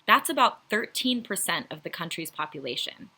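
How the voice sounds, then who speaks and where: A woman's voice: on the low side, big pitch swings, normal speed, sharp tone. A woman presenting an educational video in a formal, scripted explanatory style. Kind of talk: scripted informational narration.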